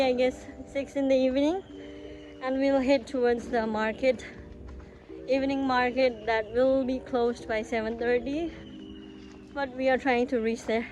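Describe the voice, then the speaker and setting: A young woman whose first language is Nepali talks easily and casually, with lots of fillers, in a fairly high voice. She records on a phone, outdoors by the beach and inside a restaurant. Loud music plays under her voice. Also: highs cut off. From Sikkim, India. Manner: chatty and easygoing, with many fillers. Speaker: vlogger. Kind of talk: casual travel vlog narration. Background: background music.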